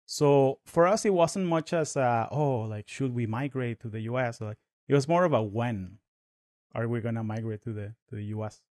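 A man in his thirties whose first language is Spanish, talking fast with many restarts as a guest in a casual podcast interview. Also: mid-range voice, darkish tone, dry recording, studio quality. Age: 30s.

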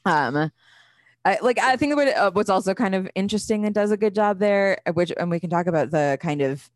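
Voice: medium pitch, animated, a little fast, no room sound. A podcast co-host in casual chat, rambling with many fillers and laughing easily. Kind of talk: casual chat, film discussion. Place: Denver.

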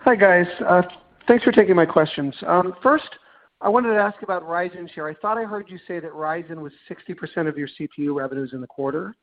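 A man with a fairly high, gravelly voice fires off questions as an analyst. The wording is informal, and he comes through a narrow, tinny phone line. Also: a little fast. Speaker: financial analyst asking questions. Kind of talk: informal, unscripted earnings call questions.

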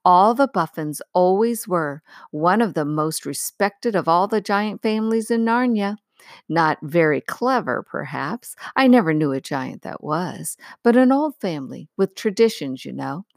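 A woman's voice: fairly low pitch, lively intonation, unhurried, no echo, studio quality. Woman as a chatty, courteous little fawn. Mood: chatty, knowing. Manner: conversational, gently explanatory. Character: courteous, chatty male fawn, small and thoughtful.

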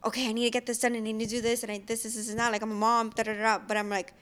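A woman's voice: fairly high pitch, level tone, very fast, fairly bright tone, a little hiss or hum. A woman in her twenties chatting casually with a friend, using lots of fillers and laughing easily. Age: 20s. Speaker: young mom in a casual chat. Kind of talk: casual chat.

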